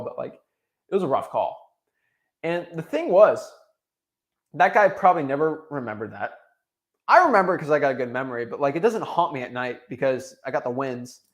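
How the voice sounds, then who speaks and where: A young man from Florida giving an energetic motivational talk, full of restarts and tagging his points with "right?". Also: medium pitch, a little fast. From Florida, USA. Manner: energetic, repetitive tag "right?", frequent restarts. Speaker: real estate wholesaling coach.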